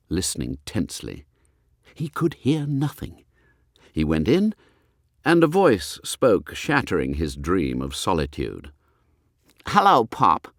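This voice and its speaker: A man narrates a comic novel with great expression. His voice is fairly low, yet it swoops widely from phrase to phrase. The sound is dry, with no room echo. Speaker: audiobook narrator.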